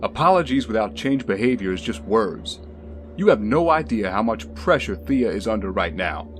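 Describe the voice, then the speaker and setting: An actor playing a blunt, protective former bodyguard in an audio drama, firm and reproving. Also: male voice, on the low side, big pitch swings, normal speed. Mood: stern. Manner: firm, plain-spoken, reproving. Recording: dramatic music behind the voice.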